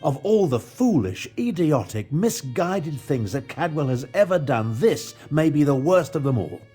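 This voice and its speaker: A man voicing an exasperated, learned mage in a video game, husky and scornful, stressing his words. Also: a little high, sing-song, measured pace, dark tone, faint music behind, heavily acted. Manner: scornful, emphatic. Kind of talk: scripted video game dialogue.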